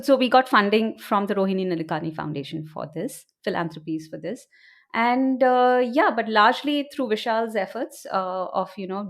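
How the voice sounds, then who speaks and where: A woman from India with an Indian accent, answering interview questions as an audio producer in a conversational, explanatory way, often adding "you know". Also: mid-range voice.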